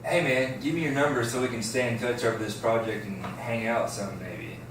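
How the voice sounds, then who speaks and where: A man's voice: medium pitch, moderate pace, fairly bright tone, natural voice. A man playing a friendly, laid-back teenage stoner boy in an amateur script read. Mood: friendly, casual. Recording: echoey room, distant mic, faint noise.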